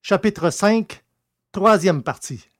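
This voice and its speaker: A man reading scripted narration for a science-fiction audio serial in a measured, literary way. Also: high-pitched, expressive.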